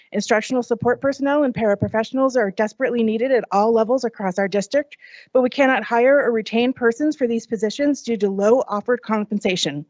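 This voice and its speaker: A woman's voice: fairly high pitch, darkish tone, dry recording. A middle-aged woman, an educator, reading an earnest prepared public comment at a podium microphone. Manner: earnest, measured, read from notes. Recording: dull lo-fi meeting audio.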